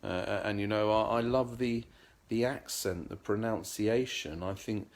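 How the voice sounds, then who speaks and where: A man's voice: fairly low pitch, unhurried. A middle-aged man talking earnestly in a casual vlog monologue, often repeating words as he searches for the next one. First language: English. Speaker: vlogger.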